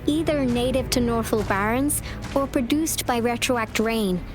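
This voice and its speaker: A woman performs a composed, earnest female official in charge of a city's defenses. Her voice sits fairly high and she speaks formally, with clear wording. It is video game dialogue, set over prominent music. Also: unhurried, natural voice. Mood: grave. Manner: formal, articulate. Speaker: game character, director of defense fortifications. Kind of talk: English game dub dialogue. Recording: studio voice under loud music. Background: game soundtrack music.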